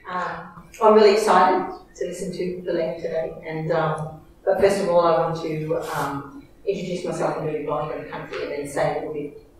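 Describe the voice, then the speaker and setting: Low-voiced Australian woman, middle-aged, chatty host, echoey lecture hall, recorded from far back. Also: steady tone, brisk, background noise. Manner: chatty, many fillers and self-corrections. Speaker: event host introducing a speaker. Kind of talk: university lecture introduction. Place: large university lecture hall. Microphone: lectern microphone, recorded from far back.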